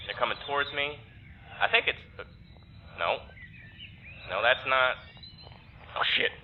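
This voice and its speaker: A thin, phone-like signal carries a male actor as an uneasy young man. He is sardonic and halting, talking his way through a strange place in an audio drama, with faint noise behind him. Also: medium pitch, lightly acted. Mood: wary, exasperated. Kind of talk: naturalistic audio drama acting. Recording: tinny phone-like filter with background noise.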